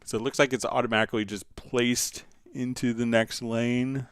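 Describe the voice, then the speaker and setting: A man hosting a casual live software tutorial, thinking aloud informally as he demonstrates. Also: medium pitch, flat delivery, moderate pace, clear, resonant tone, no echo, studio quality. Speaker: livestream host, software instructor.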